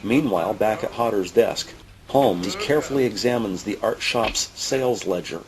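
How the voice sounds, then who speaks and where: A man's voice: fairly low pitch, normal speed. A man reading scripted present-tense narration for a detective audio drama. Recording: faint background noise and ambience.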